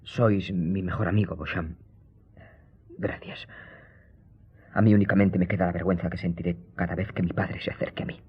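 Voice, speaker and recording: An actor playing an anguished young nobleman in a radio drama, with a husky, breathy voice and a formal, emotional way of speaking. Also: low-pitched, steady tone, normal speed, pauses often, muffled recording. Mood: wounded, brooding. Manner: emotional, formal old-fashioned address. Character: anguished young French nobleman shamed by his father's treason.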